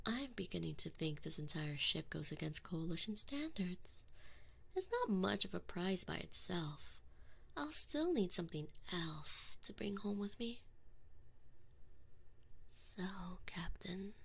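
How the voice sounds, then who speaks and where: A woman's voice: on the low side, very animated, exaggerated voice. A woman playing a sadistic, mock-polite alien enforcer in an ASMR roleplay, taunting sweetly and possessively into a close binaural microphone. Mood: possessive purring. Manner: slow, mock-sweet, taunting, often dropping into breathy whispers.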